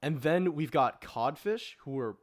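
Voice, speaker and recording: A man's voice: fairly high pitch, animated, unhurried. A man hosting a casual panel discussion, talking in a jokey, slangy way. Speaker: podcast host.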